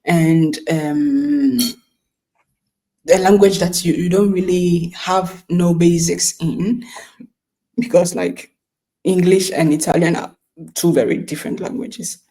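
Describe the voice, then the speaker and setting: A woman in her thirties from Ghana co-hosts a relaxed chat, her voice low and mellow. She talks slowly and unhurriedly, teasing her sister and circling back through her sentences. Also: low-quality recording. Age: 30s. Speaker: podcast co-host. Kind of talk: casual chat.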